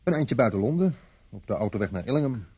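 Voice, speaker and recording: A man's voice: medium pitch, big pitch swings, fast, dull audio, close to an everyday voice. A man acting in a radio play as a dutiful traffic policeman giving an official, precise, slightly hesitant report.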